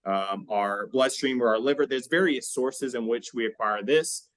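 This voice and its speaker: Male podcast host, average pitch, dry sound; conversational explainer who pauses to restart and check understanding. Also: no echo.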